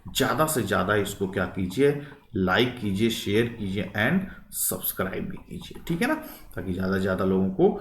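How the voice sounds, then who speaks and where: A man's voice: on the low side, expressive, fairly quick, slight background noise. A man teaching history quiz questions for exam preparation, posing each question, answering it and often checking in with listeners. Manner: asks questions then answers them, frequent check-in tags. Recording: echoey room, distant microphone.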